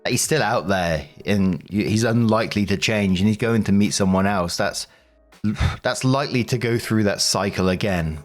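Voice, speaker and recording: A man reading online posts aloud as the channel's narrator, then giving chatty commentary with fillers like "I mean" and "like". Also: fairly low pitch.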